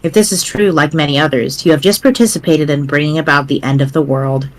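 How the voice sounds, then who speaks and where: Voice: medium pitch, fairly even intonation, fast talker, lightly acted, low-quality recording. A performer reading as a wry, grave teenage girl writing a doomsday walkthrough in formal, verbose diction for a webcomic dramatic reading. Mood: solemn, wry.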